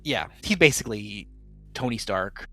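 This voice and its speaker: A man's voice: fairly low pitch, animated, moderate pace, bright, forceful tone, faint music behind. A man narrating as game master in a casual tabletop game podcast, explaining at length with lots of fillers and restarts.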